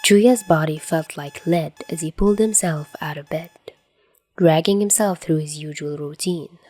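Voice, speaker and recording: A woman's voice: low-pitched, moderate pace, a little mellow. A woman reading scripted fan fiction aloud as a narrator. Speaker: podfic reader.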